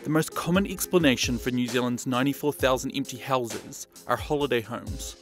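Even male documentary narrator. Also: medium pitch, a little fast. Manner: even, informative delivery. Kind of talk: scripted narration. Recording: voice-over with music.

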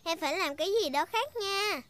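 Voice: extremely high pitch, lively intonation, brisk, very noisy. A performer voicing a cheerful, bossy little girl piglet in a children's cartoon dub.

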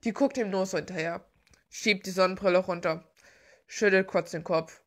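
A woman's voice: lowish voice, steady tone, moderate pace. A woman chatting casually and giggling as she gushes like a fan, rambling along as the host of a video. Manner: gushing, giggly.

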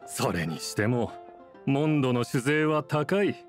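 An actor playing a gruff, dry middle-aged tavern bartender in a Japanese game. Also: male voice, medium pitch, animated, unhurried, faint music behind, lightly acted. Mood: matter-of-fact.

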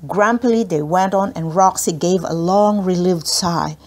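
A woman's voice: on the low side, unhurried, darkish tone. A woman narrating a children's adventure book in a scripted read-aloud style. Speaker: audiobook narrator.

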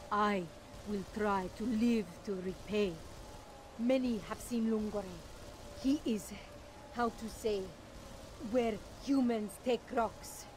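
A proud alien warrior, acted out by a voice actor, stern and honor-bound, from a video game. The delivery is slow and measured over faint ambience. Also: mid-range voice, a little hiss or hum, close to an everyday voice, low-quality recording. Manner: stern, formal broken speech.

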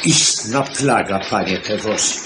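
An actor playing a talkative, grumbling gentleman lawyer with an emphatic, colloquial manner in a 1970s radio play. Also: on the low side, measured pace, close to an everyday voice. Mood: exasperated. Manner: complaining, colloquial, emphatic repetition. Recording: noisy, echoey old radio recording.

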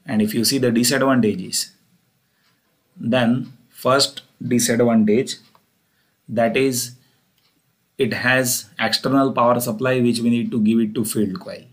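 A man's voice: medium pitch, fairly even intonation, measured pace, long pauses, poor audio. A man with a mid-range voice delivers an explanatory engineering lecture. He works through the material one step at a time, restating points as he goes.

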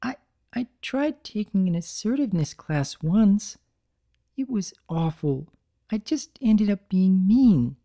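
A sweet, anxious young female pegasus pony from a cartoon fan story, acted out by a man. The voice sits high and swings in pitch. The speech hesitates and stammers apologetically. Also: animated, takes it slowly, dark tone, full character voice. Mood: meek, hesitant.

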